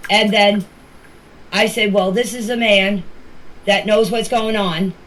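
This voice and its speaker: A woman speaks in a plain, conversational way at a medium pitch, as in a casual voice message. The recording is homemade, with faint noise behind her. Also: fairly even intonation, takes it slowly, a bit bright. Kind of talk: casual spoken voice messages to a group chat. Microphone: voice clips recorded for a group chat. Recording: noisy amateur voice-message recording.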